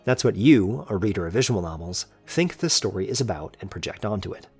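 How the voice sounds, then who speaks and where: A man's voice: on the low side, expressive, a little fast, husky, faint music behind. A man reading scripted, analytical video essay narration in an earnest, emphatic and articulate way.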